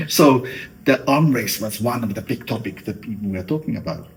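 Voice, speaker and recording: A man's voice: mid-range voice, lively intonation, moderate pace, creaky, a little hiss or hum, low-quality recording. A middle-aged man from Japan with a Japanese accent, a guest giving thoughtful interview answers with many fillers like "you know".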